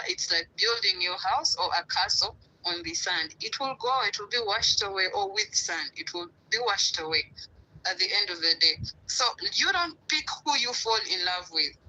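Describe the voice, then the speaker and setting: Bright-voiced woman from Uganda. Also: medium pitch, poor audio. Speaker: guest speaker. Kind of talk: live stream talk. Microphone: live stream call.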